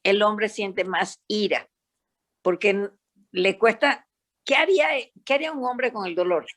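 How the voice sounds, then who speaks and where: Woman, older, Panamanian accent, psychologist on a panel, emphatic, animated storyteller. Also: medium pitch, unhurried. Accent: Panamanian Spanish. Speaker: psychologist guest. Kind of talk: panel discussion.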